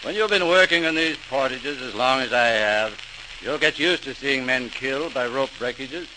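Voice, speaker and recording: An actor playing an impatient railway superintendent in 1940s radio style, brusque and pompous. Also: male voice, medium pitch, normal speed, natural voice. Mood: dismissive. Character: dismissive, impatient male railway superintendent, set in his ways. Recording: noisy old radio transcription.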